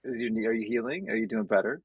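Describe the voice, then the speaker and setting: A young man who does stand-up, born in Bosnia and raised in Detroit, making wry deadpan jokes as a podcast guest. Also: lowish voice, fast talker, husky, no room sound, dull audio. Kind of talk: casual chat.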